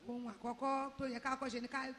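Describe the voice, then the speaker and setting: A woman interpreting a talk phrase by phrase, quickly echoing each line right after the speaker. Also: high-pitched, little pitch movement, a bit bright. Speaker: Yoruba interpreter. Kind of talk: live consecutive interpretation. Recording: echoey hall, faint ambient noise.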